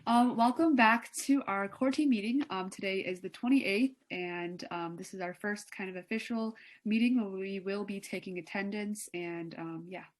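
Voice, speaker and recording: A young woman runs a student meeting on a video call. She sounds easygoing and leans on filler words. Her pitch sits in the middle. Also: moderate pace, hardly any pauses, low-quality recording. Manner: relaxed, filler-heavy. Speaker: meeting leader. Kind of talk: casual online meeting.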